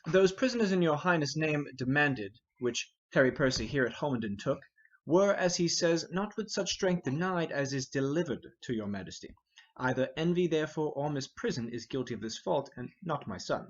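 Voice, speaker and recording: A man plays a courteous elder lord in a Shakespeare play. His speech is formal and declamatory, with a husky tone and mobile pitch. The recording sounds dull. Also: expressive, moderate pace. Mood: respectful, earnest. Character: courteous elder nobleman defending his son. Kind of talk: Shakespearean verse drama reading.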